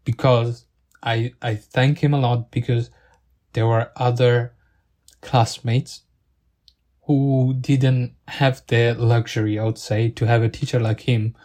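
A young man who is not a native English speaker gives an earnest thank-you monologue, halting and searching for words as he goes. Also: mid-range voice, little pitch movement, darkish tone. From outside the UK. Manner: earnest and grateful.

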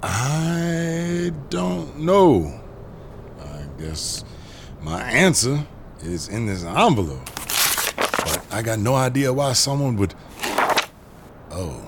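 An actor playing a flustered, gullible cheating husband type in an audio drama, excitable and colloquial. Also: male voice, medium pitch, slow, creaky, long pauses, heavy background noise, exaggerated voice. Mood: dismayed, rattled.

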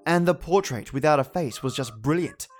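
A man's voice: a little high, lively intonation, moderate pace, soft background music. A man hosting a podcast, giving chatty, matey commentary to his listeners. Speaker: podcast host.

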